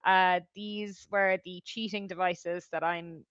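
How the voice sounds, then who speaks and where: A woman with a low, smooth voice speaks on a webinar as a research lead. She is measured and thoughtful, and a little hesitant. Her audio comes through a video call, dull and dry. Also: steady tone, a bit bright, dry recording. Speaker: head of research, guest speaker. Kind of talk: webinar presentation and discussion.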